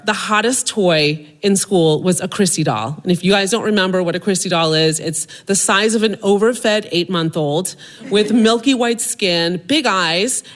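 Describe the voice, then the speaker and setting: A middle-aged woman from suburban Maryland tells a personal story onstage in a self-mocking, comic way. Her voice sits at an ordinary pitch for a woman, and her timing carries the jokes. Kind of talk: live humorous personal storytelling.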